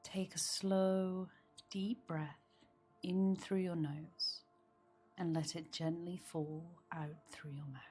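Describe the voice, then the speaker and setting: A woman guiding a meditation in a soft, soothing manner, with phrases often trailing into breathiness. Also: fairly low pitch, little pitch movement, takes it slowly, breathy edge. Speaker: meditation guide. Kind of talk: guided meditation. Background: background meditation music.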